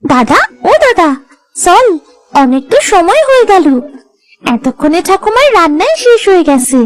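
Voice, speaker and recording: Cartoon little sibling, played by a woman: very high voice, bossy and teasing, lively swoops. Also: measured pace, faint music behind, heavily acted. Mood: eager. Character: bossy, teasing village child, a younger sibling. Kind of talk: Bengali cartoon dialogue.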